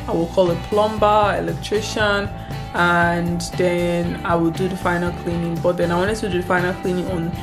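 A young Nigerian woman with a slightly low, easy voice talks loosely to her camera, full of "um" and "so yeah" as she thinks aloud. Her words ring off the hard walls of empty tiled rooms, and music plays behind her. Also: echoey room. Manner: relaxed, chatty, thinking aloud with many fillers. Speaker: vlogger. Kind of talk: casual vlog chat to camera. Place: small bare apartment with tiled rooms. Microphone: handheld camera close to face.

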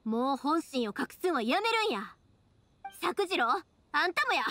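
A woman's voice: high-pitched, big pitch swings, normal speed, bright, forceful tone, no room sound, heavily acted. A woman playing a frank, stubborn young fireworks maker with a Kansai accent in a Japanese anime game. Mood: determined.

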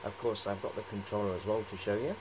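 A man's voice: fairly low pitch, steady tone, quick pace, noisy background. A middle-aged man giving a casual, hesitant, rambling show-and-tell on a handheld camera in a cluttered workshop. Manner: rambling, hesitant, repeats "of course". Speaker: hobbyist presenter.

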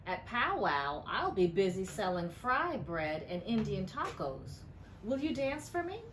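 A woman voicing a busy, friendly woman neighbour in a storybook, brisk and obliging. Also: mid-range voice, big pitch swings, a bit bright, echoey room, voice pushed up. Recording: clearly noisy room ambience.

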